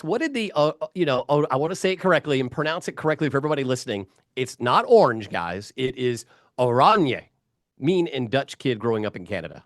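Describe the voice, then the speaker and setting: Animated man hosting an interview podcast. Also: mid-range voice, no room sound, studio quality. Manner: animated, eager questions with emphatic stresses. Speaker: podcast host.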